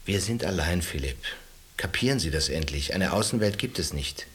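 Educated irascible storyteller, bright forceful voice, very lively pitch, theatrical, sardonic, radio drama. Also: male voice, normal speed. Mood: wry, calm. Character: educated castaway man, a vivid storyteller, irascible and opinionated. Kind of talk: German radio play acting.

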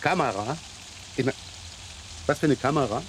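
A man's voice: medium pitch, lively intonation, moderate pace, close to an everyday voice. Crime radio drama: male actor as evasive, hesitant, over-polite man, mid-range voice, slight rainy background noise. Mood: nervous, impatient. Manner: stammering, hesitant, nervously polite. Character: flustered, evasive, deferential man disposing of a corpse. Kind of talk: German crime radio play acting.

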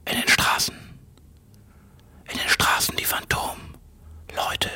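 A man with a husky, breathy voice delivers a literary radio monologue in stark, clipped phrases, often repeating himself. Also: slow, pushed and bright, whispered. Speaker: writer.

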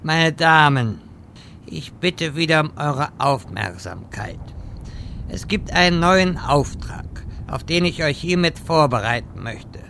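A man's voice: on the high side, lively intonation, slow, vocal fry, sharp tone, a little hiss or hum, exaggerated voice. A man playing a pompous, vain wizard with grandiloquent, stilted wording in a parody radio play. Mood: solemn. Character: pompous, vain, theatrical male wizard quest-giver.

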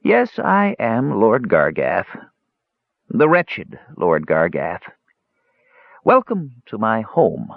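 A man voicing a cursed, sorrowful old shapeshifting wizard lord in a fantasy audiobook, slow and despairing. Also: lowish voice, wildly swooping pitch, gravelly, dry recording, exaggerated voice. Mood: wretched, gracious. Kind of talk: dramatic fantasy audiobook character voice. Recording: slightly dull, lo-fi audio.

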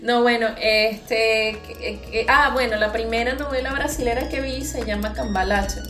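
A woman's voice: on the high side, steady tone, normal speed, echoey room, over music. A woman chatting casually as a podcast co-host. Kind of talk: casual chat about television.

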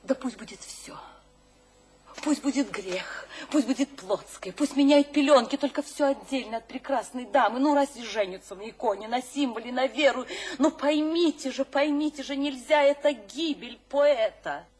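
Actress as high-voiced, exalted salon hostess. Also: female voice, a little mellow, close to an everyday voice. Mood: impassioned, indignant. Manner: theatrical, declamatory, dramatic pauses. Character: exalted, imperious literary salon hostess, fervently mystical. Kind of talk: audio drama stage acting.

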